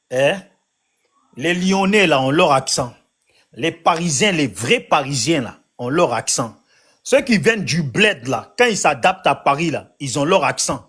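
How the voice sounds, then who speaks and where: A man's voice: a little high, fairly bright tone, slightly rough. A man in a heated online debate, rambling and flustered, often repeating himself. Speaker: debate participant.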